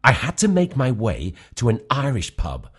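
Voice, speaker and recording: A man's voice: medium pitch, huge pitch swings, moderate pace, fairly bright tone, slightly rough, exaggerated voice. A man playing a bumbling, deferential British secret agent in a comic spy radio play, in an earnest, mock-serious way. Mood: mock-serious narrating. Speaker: narrator and lead actor.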